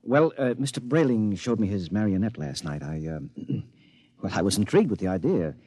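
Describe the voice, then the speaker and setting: An actor playing an evasive, impatient suburban husband in an old-time radio drama, hesitant and brusque in turns. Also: male voice, fairly low pitch, expressive, moderate pace, warm tone, slightly rough. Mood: nervous, eager.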